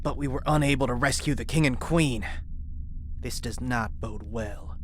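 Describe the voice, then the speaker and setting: A man's voice: mid-range voice, moderate pace, slight background noise, close to an everyday voice. A man playing a brave, stern alien rebel commander in a comic-book audio drama, speaking in clipped commands. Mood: grave. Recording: loud music under the voice.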